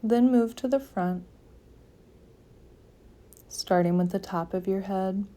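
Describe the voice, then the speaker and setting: A woman leading a guided meditation, giving calm, gentle, soothing instructions with long pauses between phrases. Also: mid-range voice. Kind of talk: guided yoga nidra meditation.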